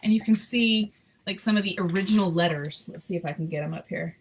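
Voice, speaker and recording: A woman reading a children's picture book aloud in an expressive, unhurried, sentimental way, with casual asides. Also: fairly low pitch, a little hiss or hum. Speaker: storybook reader.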